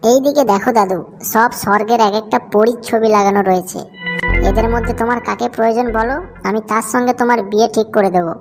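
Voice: mid-range voice, steady tone, fast talker, faint music behind, natural voice. A performer voicing a cheeky young matchmaker in a comic cartoon, teasing and wheedling in crude slang. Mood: wheedling salesmanship. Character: cheeky, foul-mouthed young matchmaker hustling an old customer.

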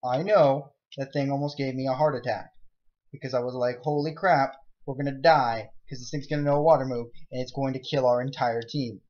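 A young man giving rambling, jokey commentary over a game he is playing, breaking into excitable outbursts. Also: medium pitch, a little fast. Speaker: let's play gamer. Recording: dull lo-fi mic.

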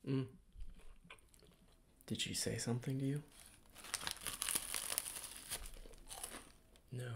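Hushed, creaky young tennis rival, acted by a man. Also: mid-range voice, steady tone, moderate pace, natural voice. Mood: hushed suspicion. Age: young adult. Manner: hushed, understated, teasing. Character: two young tennis rivals sparring quietly over a girlfriend. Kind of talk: hushed ASMR scene reenactment. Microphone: desk microphone, close. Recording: clearly noisy close-mic recording, crinkling.

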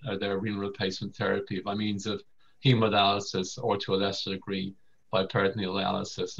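A man's voice: lowish voice, creaky, a bit bright, husky. A middle-aged Irish man with an Irish accent giving a semi-formal medical lecture over a video call. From Ireland. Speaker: vascular surgeon giving a lecture. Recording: dull lo-fi video call audio.

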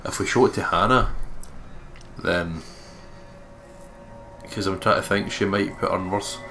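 A man's voice: sits low, fairly even intonation, measured pace, pushed and bright. A man, chatting casually as a co-commentator on a game. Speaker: let's play co-commentator. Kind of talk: casual chat. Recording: music behind the voice. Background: game music.

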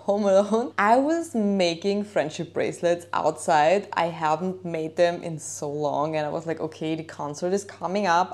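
A young woman in her twenties chatting to camera in a rambling, excitable vlog from a small kitchen. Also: mid-range voice. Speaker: vlogger. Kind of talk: casual vlog chat to camera. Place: indoors in a small kitchen of a holiday house.

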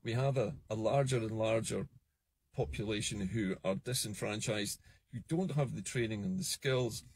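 A Scottish man in his fifties giving an earnest, measured political monologue to a phone camera from a parked car. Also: fairly low pitch, a little mellow, breathy edge. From Scotland. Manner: earnest, measured, emphatic on key points. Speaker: political commentator. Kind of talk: solo political vlog monologue. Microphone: phone camera at arm's length.

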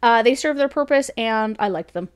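A woman's voice: a little high, animated, moderate pace, clear, resonant tone. A woman in her thirties giving a casual, chatty review as host, informal in manner and talking straight to the camera. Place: small indoor room lined with bookshelves.

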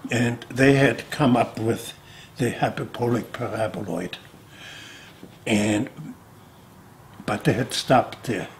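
Slow-spoken older man. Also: medium pitch, gravelly, slight background noise. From Austria. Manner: slow, deliberate, explaining with pauses and restarts. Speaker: sculptor. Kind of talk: reflective interview answers. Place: indoor studio room.

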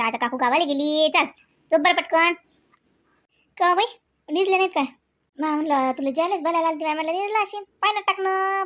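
A woman's voice: high voice, fairly bright tone, no room sound. A woman voicing chatty, homely village women in a family cartoon, with a rural Varhadi Marathi accent and a gossipy, colloquial manner. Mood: homely, concerned. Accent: Varhadi Marathi, rural Vidarbha. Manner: gossipy, colloquial, drawn-out kinship exclamations.

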